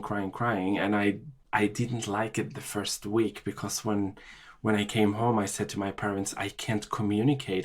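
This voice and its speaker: A middle-aged man from Chile, a podcast guest telling his life story in a halting way, with many restarts and fillers. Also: on the low side. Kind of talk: personal storytelling interview.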